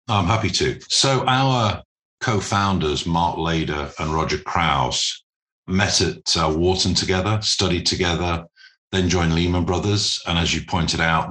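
A man speaking as a business interview guest, explaining things formally and deliberately, with measured phrasing and pauses. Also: sits low, pushed and bright. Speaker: interview guest, private equity managing director.

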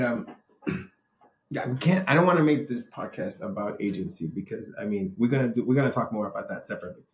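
Casual male podcast host, roomy sound. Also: fairly low pitch. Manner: casual, storytelling, frequent restarts. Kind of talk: conversational podcast interview. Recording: roomy echo.

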